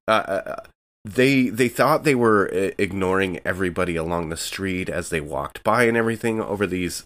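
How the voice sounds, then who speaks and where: A man's voice: low-pitched, fairly bright tone. A man playing a tabletop game, describing his character's actions with hesitant fillers. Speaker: player.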